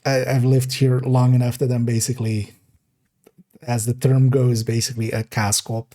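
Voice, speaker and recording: A young man from the Netherlands, streaming live and thinking aloud in a relaxed, meandering way while reviewing his work. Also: medium pitch, moderate pace. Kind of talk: casual live-stream commentary.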